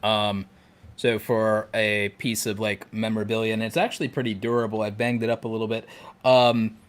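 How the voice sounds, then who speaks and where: A man's voice: lowish voice, little pitch movement, moderate pace. A man co-hosting a podcast in casual conversation, talking with jokey, nerdy enthusiasm. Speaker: podcast co-host.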